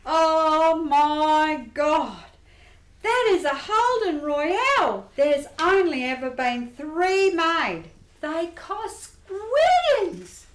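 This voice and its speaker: A woman voicing a scheming, excitable squirrel grifter in an amateur radio play. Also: high-pitched, extremely slow, full character voice. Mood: amazed. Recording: roomy echo.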